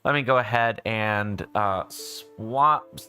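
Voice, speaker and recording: A man's voice: on the low side, takes it slowly, sharp tone, clean tone, soft background music. A man, just woken up, chatting casually as a game stream host, rambling with frequent self-corrections. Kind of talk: casual livestream chat.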